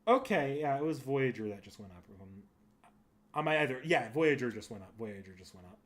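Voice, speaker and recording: A man with an average-pitched voice talks casually as a livestream host. He rambles through his thoughts, backing up and correcting himself as he answers his viewers. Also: sing-song, a little fast. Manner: rambling, thinking aloud with frequent self-corrections. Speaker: streamer and host.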